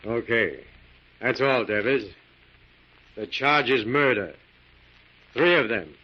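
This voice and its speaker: An actor playing a brisk, authoritative police inspector in a vintage radio drama. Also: male voice, medium pitch, expressive, slightly rough. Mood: commanding. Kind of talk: 1940s radio drama acting. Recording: muffled, noisy old radio recording.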